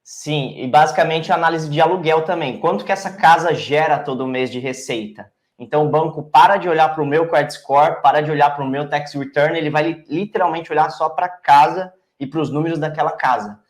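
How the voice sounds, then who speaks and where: A man's voice: fairly high pitch, brisk, few pauses, reverberant. A man with a Brazilian Portuguese accent, a real estate broker and investor, explaining financing in a casual interview. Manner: explanatory, lists numbers, uses "cara" and "né".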